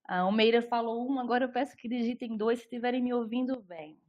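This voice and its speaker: A woman's voice: fairly high pitch, fast talker, a little mellow, muffled recording. Brazilian woman giving a nutrition talk. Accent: Brazilian Portuguese. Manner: friendly, explanatory, with many fillers. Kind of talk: online presentation.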